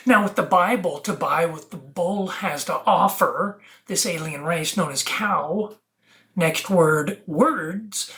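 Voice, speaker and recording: An earnest video host with a medium-pitched voice delivers a rambling spiritual monologue. The delivery is preachy and emphatic, pulling words apart into wordplay and addressing viewers directly. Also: a bit bright.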